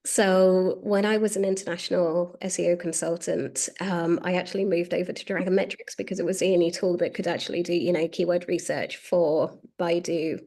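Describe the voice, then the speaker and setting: A woman's voice: lowish voice, little pitch movement, brisk, few pauses, mellow. A woman hosting a webinar as a marketing director, talking casually with lots of "um"s. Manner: chatty, many fillers. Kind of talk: presenting a webinar.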